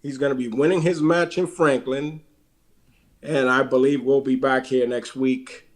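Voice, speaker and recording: A man's voice: medium pitch, steady tone, a little slow. A man with a Puerto Rican accent co-hosting a wrestling podcast, plugging upcoming shows with dates and web addresses in a casual chat broken up by fillers. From Puerto Rico.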